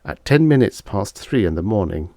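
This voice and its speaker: Deep-voiced man narrating a story, lively pitch. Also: normal speed, no room sound. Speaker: audiobook narrator.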